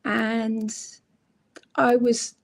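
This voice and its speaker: Middle-aged woman, hoarse from a cold, unhurried and reflective, heard over a video call. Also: fairly high pitch, level tone. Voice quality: croaky. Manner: slow, reflective storytelling with many ums. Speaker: guest crochet designer and author. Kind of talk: casual chat on a livestream.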